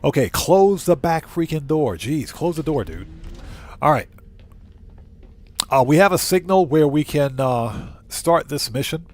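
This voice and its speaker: A man's voice: on the high side, lively intonation, measured pace. A man hosting a casual gaming livestream, talking informally to viewers as he plays. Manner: conversational, informal, reads out chat and answers it. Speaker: live streamer, channel host. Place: indoor room at home.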